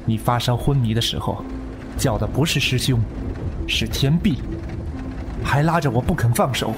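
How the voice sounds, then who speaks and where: An actor playing an earnest, ruthless young lord of a martial sect, speaking with authority in a Chinese wuxia audio drama. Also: male voice, mid-range voice, normal speed, lightly acted. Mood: earnest, intense. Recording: music under the voice.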